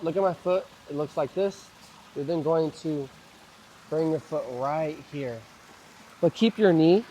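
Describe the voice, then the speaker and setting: A man leading a guided mobility flow, calmly cueing each move and breath, his voice slightly hoarse as he recovers from a cough. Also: on the high side, a little hiss or hum, rough recording. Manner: calm, gentle cueing of moves and breaths. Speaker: mobility and stretching instructor.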